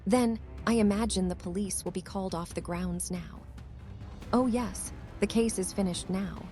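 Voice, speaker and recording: Woman playing a mellow-voiced gossiping student. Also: mid-range voice, moderate pace, soft background music, close to an everyday voice. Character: gossiping school pupils discussing a crime.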